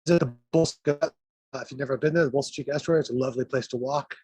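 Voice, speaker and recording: A man's voice: mid-range voice, steady tone, fast talker, darkish tone, breathy edge, dry recording. A man reading his poetry aloud at an event, with informal asides, as a guest poet.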